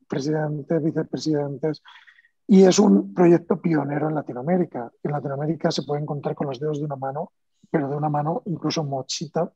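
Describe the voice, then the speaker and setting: A man with a Spanish accent speaks as a panelist in a conversational, animated way, with many fillers and restarts. His voice sits a little high, yet it sounds dark and husky. The audio is dull and dry, like muffled online panel audio. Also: dry recording. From Spain. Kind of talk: panel discussion.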